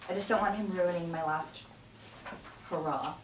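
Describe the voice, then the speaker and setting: A woman's voice: on the low side, steady tone, normal speed, close to an everyday voice. A young woman playing a wistful teenage girl at a drama table read. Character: Maya, wistful teenage girl before prom. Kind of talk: table-read acting, naturalistic dialogue. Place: home dining room, around a table. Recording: roomy, distant camera mic, loud noise.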